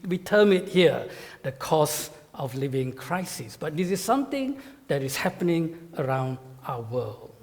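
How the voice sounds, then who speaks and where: A man giving a conference talk to pastors as a guest speaker, with a measured, halting delivery full of fillers and repeated words. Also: fairly high pitch, a little mellow. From Malaysia. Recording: reverberant hall sound.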